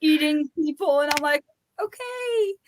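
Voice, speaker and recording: A woman's voice: sits high, expressive, unhurried, poor audio. A woman co-hosting a casual book chat on a video call, teasing and playful. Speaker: book podcast co-host.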